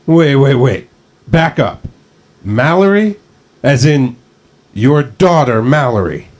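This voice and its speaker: A man's voice: medium pitch, lively intonation, slow pace, darkish tone. An actor in a screenplay table read playing a level-headed, supportive male friend talking on the phone. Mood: startled.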